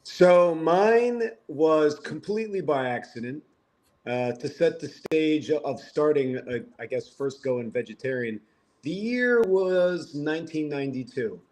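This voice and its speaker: A man's voice: a little high, lively intonation, measured pace, darkish tone. A man in his fifties from the Philadelphia area, a podcast guest telling stories with wry, self-mocking humor. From Philadelphia area, USA. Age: 50s. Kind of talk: casual podcast conversation.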